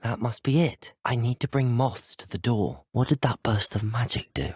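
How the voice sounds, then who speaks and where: A young man with a British accent voicing a polite, inquisitive student wizard in a video game, measured and well-spoken. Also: medium pitch, a little mellow, breathy edge, no room sound, clean studio sound, natural voice. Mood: curious, musing. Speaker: video game player character. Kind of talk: scripted game dialogue.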